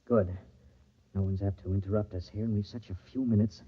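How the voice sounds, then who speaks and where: An actor playing a jovial party host with a secret lover, in theatrical old-time radio style. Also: male voice, fairly low pitch, steady tone, fairly quick, slightly rough. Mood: furtive, urgent. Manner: theatrical mock-pompous speechmaking, hushed urgency in private. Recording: dull old radio recording, organ music behind.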